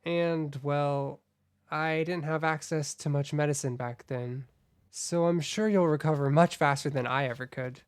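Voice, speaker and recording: An actor playing a timid, kindly royal attendant in an audio drama, polite and deferential, somewhat hesitant. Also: male voice, fairly high pitch, steady tone, unhurried, smooth, no echo, clean studio sound, lightly acted. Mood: gentle, sincere.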